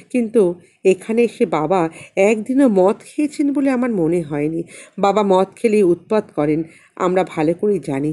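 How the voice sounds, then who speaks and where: A woman narrating a literary story expressively, in a measured way with dramatic pauses. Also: fairly high pitch, warm tone. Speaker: audiobook narrator and channel host.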